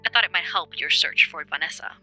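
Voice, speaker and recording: A woman playing a sharp, helpful investigative journalist in an audio drama, conversational and matter-of-fact. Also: deep voice, fast talker, faint music behind, heavily acted, poor audio. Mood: helpful, upbeat.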